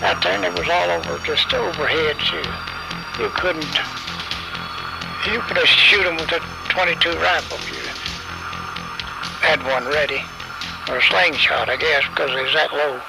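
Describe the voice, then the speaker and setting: Creaky older Kentucky man reminiscing. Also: a little high, poor audio. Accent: rural Kentucky. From Kentucky, USA. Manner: plainspoken, halting, folksy storytelling. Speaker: eyewitness interviewee, war veteran. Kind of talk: unscripted personal reminiscence. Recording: radio interview over music bed. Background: background music.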